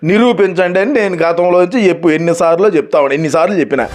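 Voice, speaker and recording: High-pitched man doing political satire. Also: very fast, noisy background.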